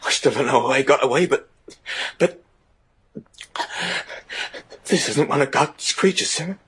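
A man playing a haunted, superstitious rural trapper in a gothic horror radio drama, with a rough, husky voice. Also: medium pitch, measured pace, fairly bright tone. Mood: shaken dread.

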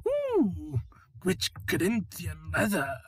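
A man voicing a gleeful, theatrical clown villain in a cartoon fan dub, mock-friendly and drawn-out. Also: high-pitched, huge pitch swings, very noisy, exaggerated voice. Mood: gleeful, smooth-talking.

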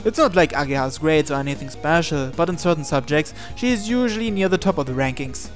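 Expressive visual novel narrator over soft music. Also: mid-range voice, fast talker. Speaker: let's play host. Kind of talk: reading visual novel text aloud, let's play narration. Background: game music.

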